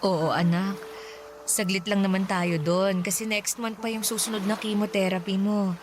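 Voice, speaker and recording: A woman's voice: mid-range voice, flat delivery, normal speed, slight background noise, close to an everyday voice. An actress playing a caring, reassuring mother in a radio drama, speaking in a tender, motherly way. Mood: tender, soothing. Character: caring, reassuring widowed mother of two young boys. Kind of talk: Tagalog radio drama acting.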